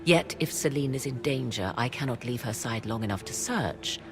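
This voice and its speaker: An actress playing a sly, mocking court sorceress in a video game cutscene, speaking slowly and teasingly. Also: female voice, very deep voice, expressive. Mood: measured. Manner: slow, teasing, measured. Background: music.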